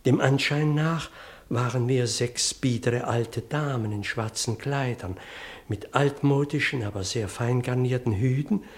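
A man's voice: mid-range voice, lightly acted. An actor playing an earnest, distressed male country vicar who tells his story theatrically in a radio play. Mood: solemn. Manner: theatrical, solemn, deliberate storytelling. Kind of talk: German radio play acting.

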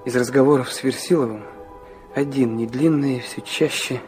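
An actor playing an earnest young man narrating his confessional memoir in a Russian literary radio drama, reflective and dramatic, with pauses. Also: mid-range voice, fairly even intonation, darkish tone, soft background music, lightly acted. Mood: introspective, resolute.

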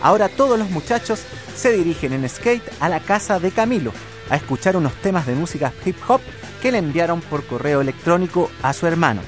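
A man's voice: on the high side, expressive, fairly quick, soft background music. A man reading a scripted story recap for educational radio in a presenter-like storytelling manner. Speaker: narrator.

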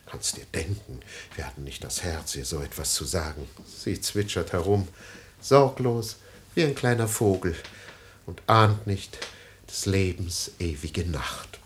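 A man's voice: low voice, expressive, unhurried, a little hiss or hum. An actor playing a vain, self-pitying middle-aged family man in a radio play, swinging between grumbling and pathos. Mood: mournful.

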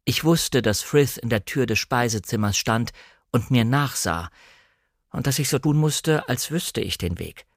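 A man's voice: mid-range voice, expressive, measured pace, no room sound, studio quality. A man narrating an audiobook, reading scripted literary first-person prose in a composed, careful storytelling manner.

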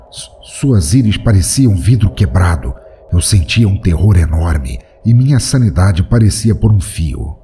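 A man narrating a first-person horror story in a measured, grave way. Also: low-pitched, mellow, a little hiss or hum.

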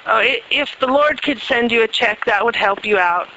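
A woman answering a phone prayer line in a brisk, matter-of-fact way. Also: fairly high pitch, sharp tone. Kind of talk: phone call with improvised spoken prayer. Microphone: telephone. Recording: dull phone recording, faint noise.

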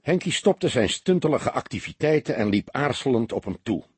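A man narrating a crime audiobook in an expressive, scripted storytelling style. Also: medium pitch, moderate pace, slightly rough, no echo.

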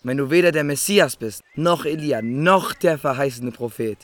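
An actor playing a skeptical Pharisee envoy in a biblical radio drama, interrogating sharply. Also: male voice, a little high, normal speed, lightly acted.

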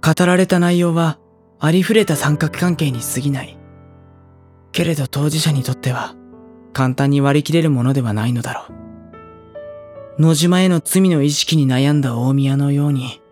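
A man's voice: a little high, normal speed, pauses often, a little mellow, soft background music, lightly acted. An actor playing an anxious, introspective teenage schoolboy, narrating his brooding inner thoughts in a Japanese audio drama. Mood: pensive.

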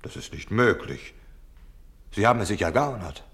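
Radio play villain: rigid young male officer, lowish voice, clipped, commanding, contemptuous. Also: animated, moderate pace, fairly bright tone. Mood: scornful. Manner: curt, commanding, clipped military phrasing. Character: younger Nazi German officer, rigid, contemptuous, inwardly ashamed. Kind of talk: German radio play acting.

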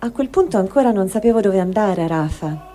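A woman's voice: medium pitch, animated, fairly quick, warm tone, soft background music. A woman giving a dramatic reading of a first-person written testimony. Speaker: reader of a translated testimony.

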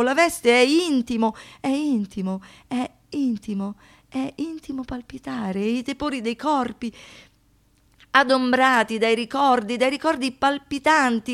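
A woman giving a dramatic, theatrical recitation in a deliberate way, as a monologue performer. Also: high-pitched. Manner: deliberate, repeats phrases for poetic effect.

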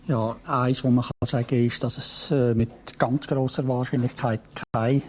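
A man's voice: mid-range voice, level tone, slight background noise. Man giving a weather forecast. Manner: plain, measured, with hesitations. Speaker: weather presenter. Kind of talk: scripted weather forecast, explaining.

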